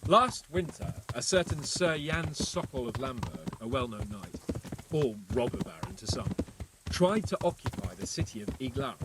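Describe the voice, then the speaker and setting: A man's voice: mid-range voice, animated, moderate pace, heavy background noise, natural voice. An actor playing a cocky, worldly young medieval nobleman with a flippant, wry way of talking in video game dialogue. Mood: amused, gossipy.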